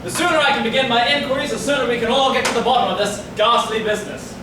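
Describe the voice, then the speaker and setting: A man playing a brisk, courteous police inspector with formal, old-fashioned speech in a staged murder-mystery farce. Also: very high-pitched. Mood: businesslike. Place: theatre staged reading, distant mic. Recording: echoey hall from far off, noisy.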